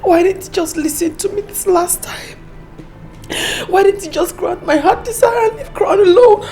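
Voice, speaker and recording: A woman's voice: very high voice, moderate pace, mellow, soft background music. An actress playing a young woman grieving her lost son, sobbing and pleading.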